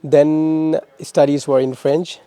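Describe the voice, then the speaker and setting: A young man from Pakistan talks through interview questions in a halting way, often restarting and repeating words. His voice is mellow and dark, and his pitch stays flat and even. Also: clear, resonant tone, no room sound, faint music behind. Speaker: interviewee, former master's student. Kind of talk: casual interview answers.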